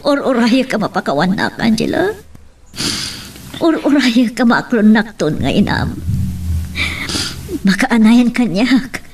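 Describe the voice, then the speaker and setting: A woman's voice: on the high side, very animated, slow pace, long pauses, a little mellow, heavily acted. Tender pleading Ilocano mother, slightly distant. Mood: tearful, pleading. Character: tender, pleading mother seeking her daughter's love. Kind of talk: Ilocano radio drama acting.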